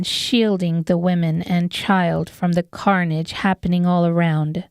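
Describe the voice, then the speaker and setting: Slow, clear woman narrating fantasy fiction. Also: medium pitch, a little mellow, no echo, studio quality. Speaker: audiobook narrator. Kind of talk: scripted fantasy story narration.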